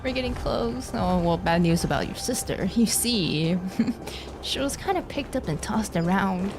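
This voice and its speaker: A woman's voice: mid-range voice, lively intonation, a little slow. A woman streamer giving casual, playful live commentary on a game, reacting to what happens as she plays. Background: game music.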